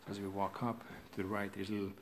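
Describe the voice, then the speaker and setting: Deep-voiced man touring a house. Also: little pitch movement, very fast, background noise. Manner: plain, matter-of-fact, pointing out rooms as he walks. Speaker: realtor giving a home tour. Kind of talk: casual walk-through narration. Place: empty house and its yard, indoors and outdoors.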